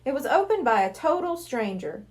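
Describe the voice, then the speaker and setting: High-pitched, lively woman reading a children's story. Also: normal speed. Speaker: library reader of a children's book. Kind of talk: scripted storybook narration for children.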